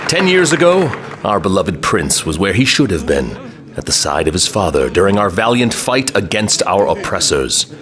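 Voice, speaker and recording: A pompous fantasy general with a very deep voice. His delivery is grandiose and widely varied in pitch, a formal public oration in an audio drama. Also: sing-song, moderate pace, crowd noise. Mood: grave, rousing. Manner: grandiose, oratorical. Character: pompous male lord general addressing a crowd.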